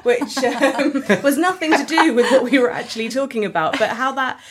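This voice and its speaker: A woman's voice: sits high, normal speed, slight background noise. A woman hosting a podcast in a conversational style, inquisitive and steering the discussion. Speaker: lead podcast host.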